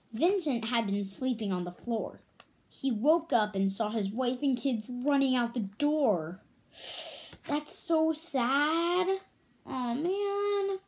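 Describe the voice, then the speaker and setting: A woman reading a children's story aloud, pausing to add her own comments and questions for listeners. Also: a little high, a little mellow.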